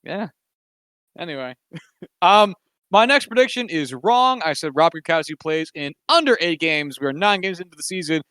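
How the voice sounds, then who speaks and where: A man's voice: on the high side, expressive, moderate pace, pushed and bright, smooth, no room sound. A man co-hosting a casual sports podcast, talking in a wry, sarcastic, rambling way with plenty of fillers. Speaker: podcast co-host.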